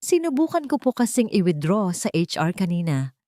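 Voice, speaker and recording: A humble old foreman, played by a woman storyteller, pleading respectfully in a soft, slightly shaky voice. The pitch moves up and down a lot as he begs in this dramatic tale. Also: very animated, normal speed, no room sound, studio quality, lightly acted. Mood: timid, respectful. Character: humble, pleading elderly male construction foreman.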